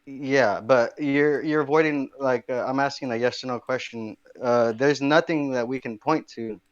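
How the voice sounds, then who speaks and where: A man in an online voice chat who keeps pressing his one question. His voice comes through a dull, muffled mic with a dry sound. His pitch is in the middle range. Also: moderate pace, dry recording. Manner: persistent, pressing for a yes or no. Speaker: chat room participant. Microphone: low-quality home mic.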